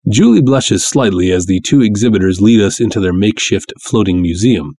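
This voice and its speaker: A man's voice: fairly low pitch, lively intonation, fairly quick, mellow, slightly rough. A man giving a dramatic first-person narration of a story, as its narrator.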